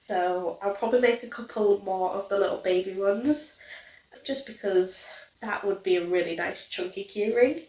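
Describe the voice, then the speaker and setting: A woman's voice: mid-range voice, a little mellow, far from the mic. A young woman in her twenties with a nasal, blocked-nose voice, chatting casually to camera with lots of ums. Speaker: crochet vlogger. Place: living room, indoors. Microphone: camera mic from a few feet away. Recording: roomy, from off mic.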